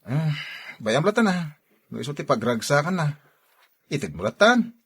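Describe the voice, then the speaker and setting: A man's voice: a little high, sing-song, normal speed, a bit bright, breathy edge, full character voice. A man with an Ilocano accent playing a sympathetic friend who gives casual advice in a radio drama. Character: sympathetic male buddy giving casual advice.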